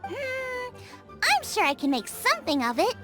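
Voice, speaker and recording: Peppy young girl chef, high voice, bouncing all over, music behind. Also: measured pace, full character voice. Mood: thoughtful. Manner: excitable, bossy instructions. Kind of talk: game voice acting. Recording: game soundtrack under voice.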